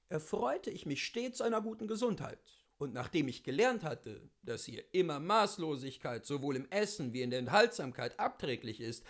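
A man reading as a witty, worldly philosopher of seventy-two, telling his memoirs in a theatrical, rhetorical and deliberate literary style. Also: on the high side, fairly bright tone, full character voice. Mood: self-satisfied.

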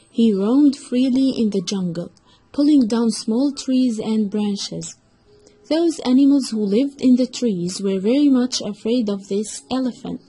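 High-pitched husky woman storyteller. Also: warm tone, rough recording. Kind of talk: scripted children's story narration.

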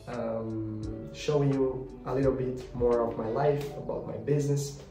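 A man in his twenties from Brazil with a Portuguese accent, speaking English haltingly and earnestly in a casual vlog from his home office. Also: mid-range voice, mellow. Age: 20s. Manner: earnest, halting, searching for words. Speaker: vlogger. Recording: roomy, a bit distant, music behind.

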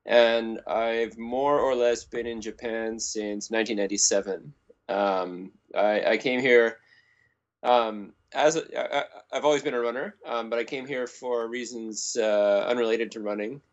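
A man who was born in Canada and grew up in the United States, explaining things in a measured way as an interview guest, with many ums. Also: mid-range voice, fairly even intonation, highs cut off. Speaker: podcast guest, running expert. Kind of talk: interview answers.

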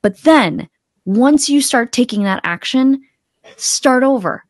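A woman's voice: high-pitched, expressive, slow pace, no room sound, studio quality. A woman in her thirties, a coach being interviewed on a podcast, explaining casually step by step. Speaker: podcast guest, accountability coach. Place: room at home, video call. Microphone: close desktop podcast mic.

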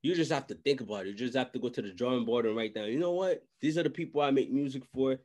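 A man's voice: mid-range voice, fast. Young man giving casual, slangy advice. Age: young adult. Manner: animated, slangy, repeats phrases. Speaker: podcast host and music industry adviser. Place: small indoor room, video call. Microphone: desk microphone, close.

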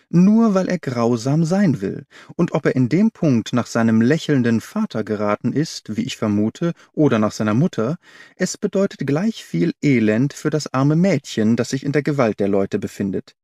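A man's voice: mid-range voice, very animated, normal speed, dark tone, no echo, clean studio sound. A man voicing a brilliant, confident detective in an audiobook, explaining in an assured, didactic way. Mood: assured, lecturing. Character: brilliant male detective, confident, analytical, commanding.

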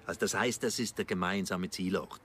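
A low-pitched actor playing an elegant older gentleman in a radio drama, outdoors with clear ambient noise. He gives instructions formally, in a businesslike, matter-of-fact way. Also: a little fast, lightly acted. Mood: businesslike, urgent.

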